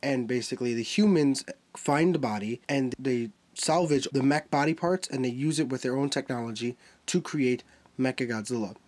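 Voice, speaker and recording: Brief: man, mid-pitched, nasal and stuffy from a cold, chatty toy-review host walking through a figure. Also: normal speed, a little mellow. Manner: chatty, explains while handling a figure.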